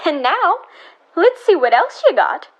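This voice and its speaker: High, lively woman playing a motherly farm wife. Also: measured pace, heavily acted. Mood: eager. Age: teenager or young adult. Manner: folksy, exclamatory. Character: fussing, kindly folksy farm wife, motherly. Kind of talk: 1930s radio serial acting.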